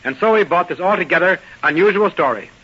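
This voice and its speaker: A man's voice: high-pitched, expressive, fast, husky, lo-fi sound. A man giving a prepared, formal and literary introduction as a guest on an old radio broadcast. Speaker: magazine editor, guest speaker.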